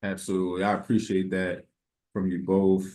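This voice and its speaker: A man hosting an interview, polite and halting, with frequent fillers. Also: low voice, completely flat delivery, mellow. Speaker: interviewer, host.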